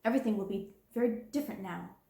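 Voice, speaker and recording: A woman's voice: mid-range voice, quick pace, gravelly, warm tone, echoey room, lightly acted. A woman voicing a harsh, domineering middle-aged schoolmistress in a storybook reading, cold and scornful.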